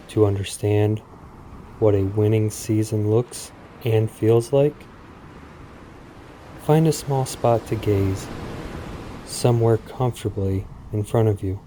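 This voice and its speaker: A man speaking in a soothing, measured, deliberate way with long pauses, as in a guided sleep meditation. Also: fairly low pitch, mellow, a little hiss or hum, rough recording. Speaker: podcast host. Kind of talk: guided sleep meditation, parody hypnosis script.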